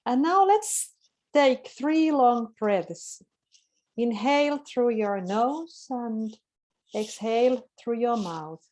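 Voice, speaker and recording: Webinar guest, woman; slightly high, unhurried voice leading a calm relaxation exercise over a video call. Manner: calm, soft-spoken, slow guiding. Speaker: guest speaker leading a guided meditation. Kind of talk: slow guided relaxation instructions.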